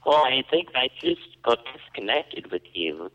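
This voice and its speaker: A man putting on a confused, raspy woman caller who rambles slowly with a lively, swooping pitch. The sound is dull and lo-fi, like an old phone recording. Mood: rambling. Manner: falsetto put-on woman's voice, rambling, hesitant. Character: confused raspy female prank caller claiming to be a young woman. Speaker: prank caller. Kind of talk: prank phone call.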